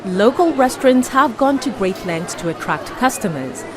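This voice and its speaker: A woman reporter narrating a scripted news feature in a lively, animated way. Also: fairly high pitch, moderate pace, background noise. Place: outdoors in a snowy city.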